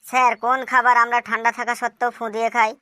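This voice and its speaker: A woman voicing a bright, cheeky cartoon schoolchild. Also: a little high, racing, no room sound, clean studio sound. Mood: cheeky, deadpan. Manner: deadpan, literal-minded, politely impudent answers. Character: cheeky schoolchild, earnest-sounding classroom smart aleck. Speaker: cartoon voice actor. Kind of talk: comic cartoon dialogue.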